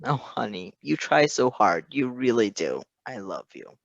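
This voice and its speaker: A man's voice: medium pitch, very animated, unhurried, creaky, no echo, highs cut off. A man voicing a caring, teasing male doctor who is affectionate, soothing and openly emotional, in a story reading. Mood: tender, soothing.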